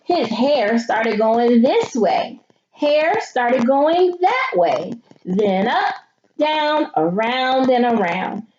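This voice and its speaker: Animated woman teacher reading aloud, muffled noisy recording. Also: high-pitched, very slow. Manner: expressive, thinking aloud, questioning young listeners. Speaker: elementary school teacher. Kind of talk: animated children's picture-book read-aloud with teaching asides. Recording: muffled audio with clear background noise.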